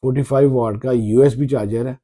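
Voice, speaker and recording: A man giving casual, halting commentary as a tech reviewer unboxing a phone, often repeating his phrases. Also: mid-range voice, steady tone, mellow.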